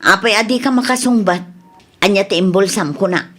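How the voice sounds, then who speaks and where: A woman's voice: mid-range voice, brisk, a bit bright, natural voice. A woman playing a stern, suspicious female authority figure with an Ilocano Filipino accent, questioning bluntly in a radio drama. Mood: stern, accusing.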